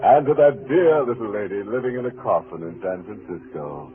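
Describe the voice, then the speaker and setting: An actor playing a gleefully macabre horror host on 1940s radio, theatrical and sardonic in a mock-friendly way. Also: male voice, fairly low pitch, animated, moderate pace, slight background noise, lightly acted. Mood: teasing, macabre. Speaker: radio show host and narrator. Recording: phone-like vintage radio recording, music behind.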